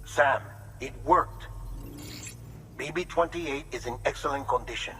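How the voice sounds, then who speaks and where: An earnest male researcher speaks in a mid-pitched, bright and tense voice. He sounds uneasy and a little halting, as in a video game cutscene, over faint noise. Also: low-quality recording. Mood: earnest, relieved.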